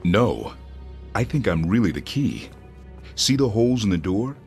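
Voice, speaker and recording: A man's voice: lowish voice, sing-song, measured pace, a little mellow, faint music behind. A man voicing a powerful creature built of ancient relics, speaking tersely and earnestly in a video game. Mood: earnest, sure.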